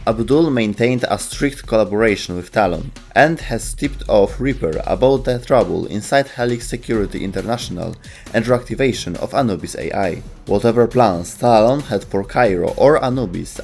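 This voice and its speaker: Low-pitched man narrating over music. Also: darkish tone. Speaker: narrator of game lore video show. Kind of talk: scripted narration.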